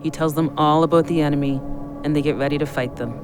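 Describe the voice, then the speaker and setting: A man acting a reckless, drunk man coming unravelled in an audio play. His voice sits fairly high, with an insistent, excitable push, over prominent music. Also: quick pace, close to an everyday voice. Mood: brooding. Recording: music behind the voice.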